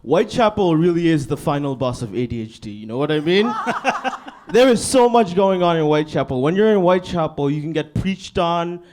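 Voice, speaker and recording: A young man from Bangladesh performs a stand-up set in a comedy club, his voice sitting a little higher than most men's. He delivers his lines in a laid-back, deadpan way, often tagging them with "you know what I mean". The sound comes through a handheld stage mic. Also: brisk.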